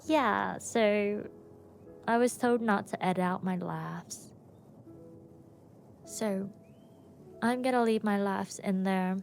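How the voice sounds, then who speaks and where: Tired, gravelly-voiced woman rambling on a podcast. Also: medium pitch. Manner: laughs often, many pauses and fillers. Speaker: podcast host. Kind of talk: casual solo podcast rambling. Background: soft background music.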